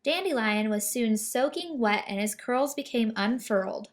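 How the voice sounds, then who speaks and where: A woman reading a children's picture book aloud in an expressive storytelling manner. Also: on the high side, normal speed.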